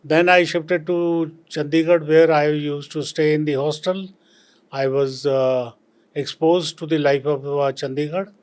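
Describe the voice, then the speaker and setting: Older punjabi guy w/ indian accent, talks slow, lots of uhs, kinda high voice. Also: steady tone, a little mellow, clean tone. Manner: slow and reflective, many fillers and restarts. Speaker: retired civil servant guest. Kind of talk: interview answers.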